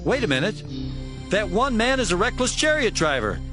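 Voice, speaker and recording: A man playing an excitable watchtower guard calling out to his king in a dramatized audio Bible. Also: high voice, normal speed, music in the background. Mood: excited, alert.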